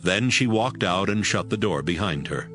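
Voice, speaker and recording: A man's voice: low voice, expressive, normal speed, a bit bright, soft background music. A man narrating a dramatized scripture audiobook in a scripted storytelling style.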